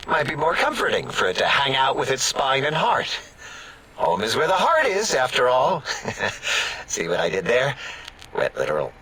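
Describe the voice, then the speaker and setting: An actor playing a petty, excitable robotic scientist in a video game, snide, sarcastic and quick to bicker. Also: male voice, medium pitch, husky, exaggerated voice, poor audio. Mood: eager, wisecracking. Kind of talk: video game character acting.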